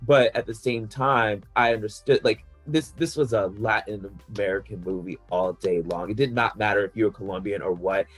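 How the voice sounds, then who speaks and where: A man's voice: on the low side, normal speed, few pauses, slightly rough, faint music behind. A man chatting casually on a podcast panel, rambling through his opinions with lots of "like" fillers and the odd laugh. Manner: rambling, heavy use of "like" fillers; laughs between points.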